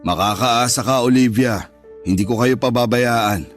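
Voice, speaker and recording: A male actor plays a wealthy Filipino businessman in a radio drama, a jealous and possessive man with a medium-pitched voice. He speaks in a controlling way that can promise care or threaten. Music plays faintly behind him. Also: normal speed, close to an everyday voice. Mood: reassuring. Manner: controlling, alternately reassuring and threatening. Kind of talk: Tagalog radio drama acting.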